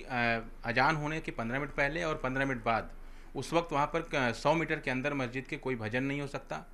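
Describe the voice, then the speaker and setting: A man's voice: on the high side, sharp tone. A man working as a TV news reporter, delivering a field report and interview questions in a formal reporting manner.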